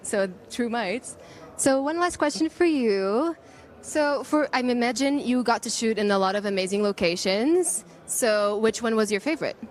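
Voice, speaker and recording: A woman's voice: fairly high pitch, expressive, moderate pace. A woman from Portugal with a Portuguese accent, working as a casual red carpet interviewer.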